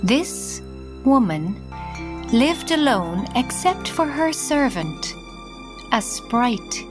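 A woman narrating a children's fairy tale as a storyteller, measured and deliberate. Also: a little high, big pitch swings, full character voice. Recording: music underneath.

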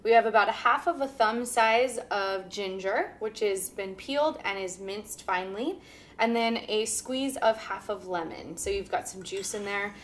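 A woman's voice: mid-range voice, normal speed, a little hiss or hum. A woman in her thirties hosting a cooking show, giving upbeat, chatty instructions and laughing often in a home kitchen. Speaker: cooking show host and teacher. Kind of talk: casual cooking demonstration.

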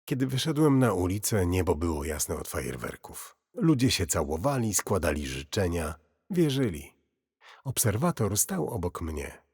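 A man narrating a scripted first-person horror story in a grave, deliberate, brooding manner. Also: sits low, sing-song, gravelly, darkish tone, breathy edge. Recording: cavernous long reverb.

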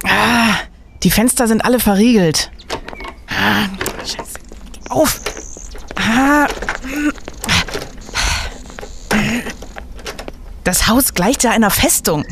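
A woman's voice: on the high side, slow, vocal fry, long pauses, noisy background. A woman playing a cheeky, boastful young male student sidekick in an audio drama, mock-grand and playful. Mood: frustrated.

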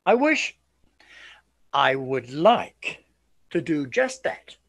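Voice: medium pitch, very animated, fairly bright tone, heavily acted. An actor in a radio play voicing a grave, cautioning, well-traveled family friend. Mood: regretful. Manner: grave, halting.

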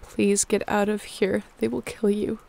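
A woman's voice: medium pitch, completely flat delivery, a little slow, gravelly, warm tone. A woman playing a sick, protective young apocalypse survivor in an audio roleplay, earnest and pleading.

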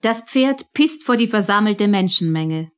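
A woman's voice: medium pitch, lively intonation, measured pace, clean tone. A woman narrating a German radio play in a scripted, storytelling style.

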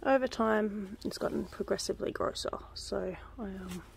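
A woman's voice: mid-range voice, moderate pace, creaky, slight background noise. A young woman chatting casually through a backyard gardening vlog, rambling with lots of ums, filmed on a handheld phone outdoors. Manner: relaxed, rambling, many ums, drops to a whisper up close. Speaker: vlogger. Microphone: phone held close.

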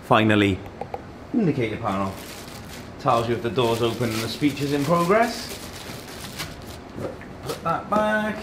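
A man's voice: medium pitch, expressive, a little slow, pauses often. A man in his thirties hosting a casual tech unboxing, speaking in short phrases as he handles boxes and products. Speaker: host of a tech unboxing video. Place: indoor office meeting room. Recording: echoey room, background noise and handling clatter.

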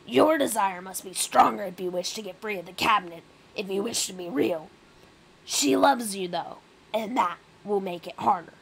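A woman's voice: mid-range voice, normal speed, vocal fry, a bit bright, rough recording. A woman voicing a proud, grim rat king in an intense, aggressive, declamatory storybook performance. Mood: grave, urging. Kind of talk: dramatic storybook reading.

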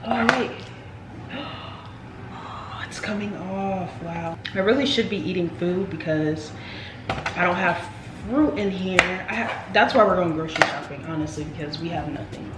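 A young woman chats straight to her viewers in a casual, playful way. Her voice bounces off the walls of a kitchen. Household noise runs clearly underneath. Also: fairly low pitch, normal speed, roomy sound. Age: young adult. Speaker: vlogger. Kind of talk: casual vlog chat to camera. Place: home kitchen, indoors. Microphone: camera on the counter.

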